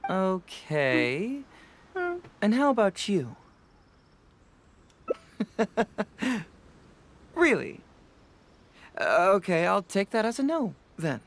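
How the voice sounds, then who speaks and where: An actor playing a young detective in a game, confident, teasing and coolly probing. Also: male voice, high-pitched, big pitch swings, drawn-out words, long pauses, fairly bright tone, heavily acted.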